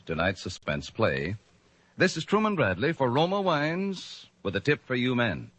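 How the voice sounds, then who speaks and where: A man's voice: medium pitch, fairly bright tone, no room sound. Persuasive 1940s radio announcer, a man. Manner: smooth, persuasive salesman delivery. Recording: old dry radio broadcast recording.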